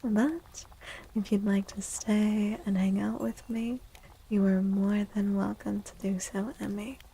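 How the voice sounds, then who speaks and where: A woman host speaking softly and gently in a livestream ASMR style, breathy and often slipping into a whisper, drawing out her words. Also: medium pitch, fairly even intonation, a little hiss or hum, low-quality recording. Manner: slow, gentle, drawn-out words. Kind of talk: soft-spoken ASMR livestream chat.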